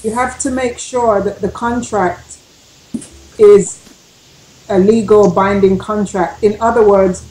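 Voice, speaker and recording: A woman's voice: medium pitch, fairly even intonation, takes it slowly, warm tone, echoey room, low-quality recording. A woman giving emphatic, step-by-step advice on a radio talk show, repeating her key points. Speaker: panelist giving legal advice.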